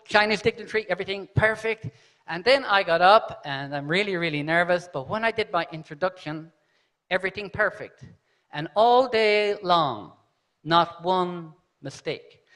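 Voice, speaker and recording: A man speaks from a conference stage in a high, bright voice. He tells his story with animation, often pausing to check in with the hall. His tone is forceful and crisp. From Ireland. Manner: animated storyteller, repeats "okay?" and "fantastic". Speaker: conference host. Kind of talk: live conference speech with anecdotes.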